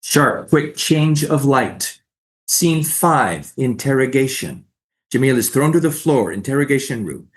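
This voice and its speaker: A man's voice: medium pitch, animated, measured pace. A man reading stage directions as scripted narration at a staged play reading.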